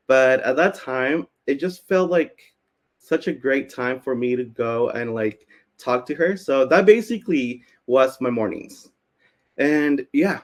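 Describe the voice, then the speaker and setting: A man from Peru, a native Spanish speaker, giving an informal storytelling presentation in a conversational way, peppered with filler words like "basically". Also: medium pitch. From Cusco, Peru. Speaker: speaker, community founder presenting.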